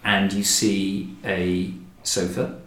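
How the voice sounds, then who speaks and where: Low-voiced man presenting, roomy sound. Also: little pitch movement, extremely slow, fairly bright tone. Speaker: podcast host, director of a historic house. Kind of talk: measured podcast presenting. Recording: roomy, echoing indoor sound.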